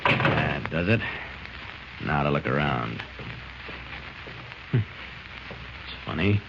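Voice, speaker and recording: An actor playing a determined superhero thinking aloud in 1940s radio style. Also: male voice, extremely low pitch, animated, creaky, exaggerated voice. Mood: resolute, searching. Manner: brisk self-narration, resolute. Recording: muffled, noisy old radio recording.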